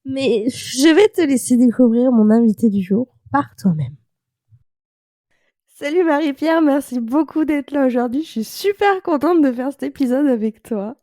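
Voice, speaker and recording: A woman hosting a podcast interview, chatty and quick to laugh, with many fillers. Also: sits high, lively intonation, mellow, no echo, studio quality. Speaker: podcast host.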